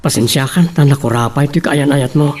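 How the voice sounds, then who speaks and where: A man's voice: mid-range voice, a little fast, a little mellow, faint music behind, natural voice. A man with an Ilocano accent playing an easygoing young boyfriend in a romance radio drama. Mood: consoling.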